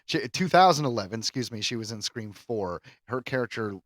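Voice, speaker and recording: A man co-hosting a podcast, chatting casually and rambling through animated asides. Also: mid-range voice, brisk, no echo, clean studio sound. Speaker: podcast co-host. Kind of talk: casual chat about horror films.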